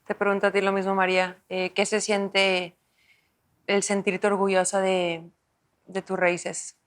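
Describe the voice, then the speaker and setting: A woman's voice: mid-range voice, flat delivery, measured pace. A woman from the United States interviewing guests, asking follow-up questions in a conversational way.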